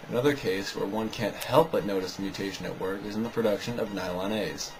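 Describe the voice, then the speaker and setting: A man with a fairly low voice delivers scripted commentary as a rebuttal. He sounds sarcastic and dismissive as he argues his points. Music plays clearly behind him throughout. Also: fairly quick, poor audio. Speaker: video narrator rebutting another video.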